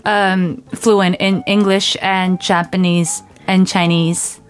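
A young woman hosting a radio interview show, chatting in a playful, animated way and laughing often. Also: on the low side, slow, soft background music. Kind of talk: bubbly radio interview chat. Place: radio studio.

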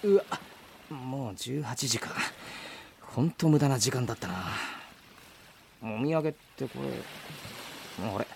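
A man acting a blunt, exasperated young man with deadpan retorts in a comedic radio drama. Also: mid-range voice, unhurried, creaky, pauses often, a little hiss or hum, voice pushed down. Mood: weary, grumbling. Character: grumpy, blunt young man, soft at heart.

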